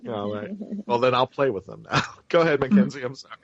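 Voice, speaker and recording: A man's voice: mid-range voice, lively intonation, quick pace, dry recording. A man hosting a casual web panel chat in a playful, bantering way over lo-fi call audio. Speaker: show host.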